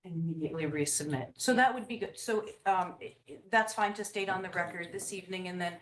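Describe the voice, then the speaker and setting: Low-voiced planning staffer lady, patient, far off mic in an echoey hall w people. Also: fast talker. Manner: helpful, explains procedure patiently. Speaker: town planning staff member. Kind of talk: procedural guidance at a public meeting. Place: town meeting room with people around.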